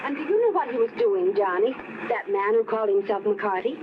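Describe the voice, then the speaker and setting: An actress playing a playful, coaxing, flirtatious rich yacht owner in a 1950s radio drama, with a coy manner. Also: female voice, mid-range voice, animated, a little fast, lightly acted. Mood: coy, confiding. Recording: muffled, noisy vintage radio recording.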